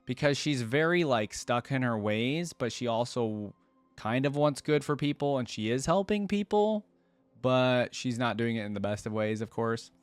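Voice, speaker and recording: A man's voice: medium pitch, expressive, normal speed, clean tone, no room sound. A man hosting a reaction video, talking casually and rambling as he thinks aloud. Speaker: YouTube reaction host. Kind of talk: casual reaction commentary to camera.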